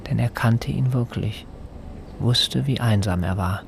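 A mid-pitched, self-important neighbour in a radio play, earnest and keen to be involved. He probes insinuatingly, over clear vehicle and outdoor noise. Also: unhurried. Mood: intent, brooding. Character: eager, self-important male neighbour, a private English tutor. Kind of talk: radio drama narration and dialogue.

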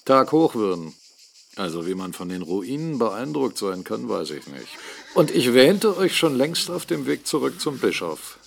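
An actor playing a proud, brusque mill owner and captain in a radio drama, boastful and quick to argue. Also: male voice, medium pitch, big pitch swings, vocal fry. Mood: gruff, teasing. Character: blunt, proud mill-owning captain, a gruff businessman.

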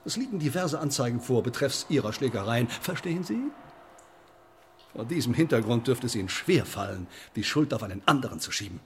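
An actor in a radio play voicing a pompous, pedantic sheriff who interrogates a suspect in stiff bureaucratic language. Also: male voice, medium pitch, big pitch swings, a little fast, slight background noise, close to an everyday voice. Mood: accusing, officious.

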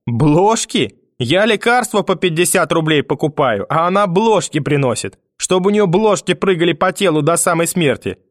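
Man acting out a venomous, domineering old grandmother, raised pitch. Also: expressive, normal speed, few pauses, no room sound, studio quality, natural voice. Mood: contemptuous, angry. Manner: scolding, sarcastic, venomous. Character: spiteful, domineering, cursing old Russian grandmother. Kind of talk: audiobook character acting.

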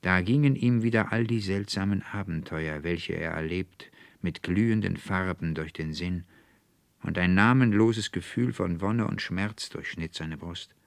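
A man with a standard German accent narrating a literary fairy tale in a measured, dramatic, precise manner. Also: sits low, gravelly, no echo. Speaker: audiobook narrator.

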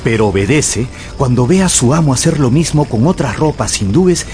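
A man's voice: mid-range voice, animated, moderate pace, warm tone, slight background noise. A man narrating an adventure novel as dramatic scripted storytelling for radio. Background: music.

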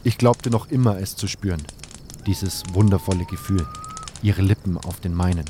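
A man's voice: deep voice, moderate pace, noisy background, natural voice. Man as reflective adventurer over nature sounds. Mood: tender, wistful. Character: nameless male adventurer hero recounting his story, tender. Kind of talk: scripted first-person fantasy audio drama narration. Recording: outdoor nature sounds behind voice.

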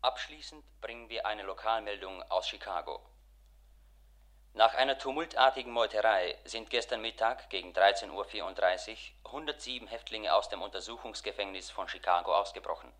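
A male actor plays a radio announcer with a deep voice. The reading is formal and measured, completely deadpan, in old-fashioned 1950s newsroom style. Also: close to an everyday voice.

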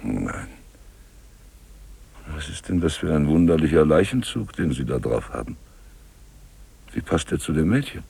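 An actor playing a gruff, superstitious local countryman who gives kindly, ominous warnings in a 1950s radio drama. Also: extremely low pitch, very animated, unhurried, long pauses, a little mellow, heavily acted. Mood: gruff concern. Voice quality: gravelly, husky. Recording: old radio play on cassette tape.

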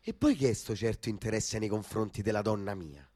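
A man's voice: fairly low pitch, fairly quick, dark tone, lightly acted. An actor playing a crude young man from the Roman slums, lazy and irritable, with a Roman accent and coarse street slang in a radio play. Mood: irritated, jealous. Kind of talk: stage radio drama dialogue.

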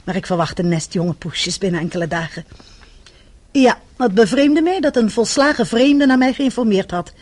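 An actress playing a prim, dignified older spinster with a formal, precise and haughty manner in a radio drama. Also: female voice, a little high, lively intonation, normal speed, a little mellow, breathy edge. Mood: formal, explaining.

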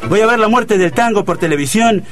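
Melodramatic old Argentine husband, high-pitched. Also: male voice, expressive, brisk, a bit bright. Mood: melodramatic despair. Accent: Argentine Rioplatense. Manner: melodramatic, old-fashioned slang. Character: stiff, grumbling old Argentine husband and tango purist. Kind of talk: comic radio play acting.

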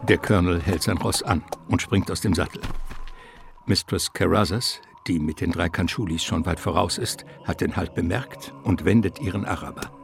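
A man narrating a comic adventure radio play as a wry, literary storyteller with measured delivery. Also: sits low, vocal fry, a little hiss or hum.

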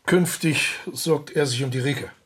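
A man acting a proud, irascible eighteenth-century composer in a German period radio drama, sardonic and commanding, with a gravelly, husky voice. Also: fairly high pitch, unhurried, fairly bright tone. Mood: subdued, solemn.

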